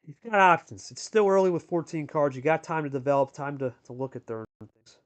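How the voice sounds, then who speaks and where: Higher-pitched man calling a game live. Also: animated, brisk. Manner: colloquial, addresses players as buddy. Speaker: play-by-play commentator and host. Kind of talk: live sports commentary on a card game.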